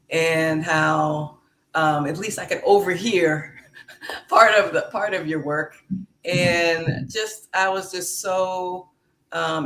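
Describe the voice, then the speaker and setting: A woman's voice: low-pitched, a little hiss or hum. A woman hosting her podcast in a casual chat, speaking with frequent fillers and restarts. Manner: slow, warm-hearted, with many fillers. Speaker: podcast host. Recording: echoey room.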